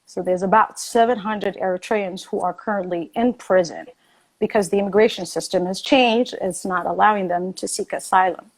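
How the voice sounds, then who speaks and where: A woman's voice: medium pitch, normal speed, rough recording. A woman arguing passionately in a heated debate, insistent and repeating her points for emphasis. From born in Addis Ababa, Ethiopia. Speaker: debate guest, refugee advocate. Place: lives in New York City.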